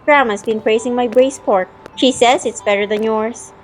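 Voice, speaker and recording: A woman's voice: a little high, normal speed, slight background noise, lightly acted, low-quality recording. A young woman playing a spirited, teasing childhood friend in an audio drama, telling the story in her own words and acting out playful banter. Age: young adult. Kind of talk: audio drama narration and dialogue. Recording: music playing behind the voice.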